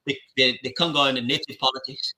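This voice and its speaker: A man's voice: medium pitch, steady tone, fast, pushed and bright, low-quality recording. A man hosting a radio talk show, who asks probing questions with many restarts. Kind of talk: interviewing.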